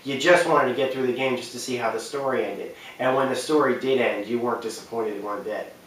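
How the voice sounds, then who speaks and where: A man's voice: medium pitch, brisk, a little hiss or hum. Man in a very echoey room. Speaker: host of a video game countdown series. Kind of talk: casual unscripted video commentary. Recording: very roomy echo, distant from mic.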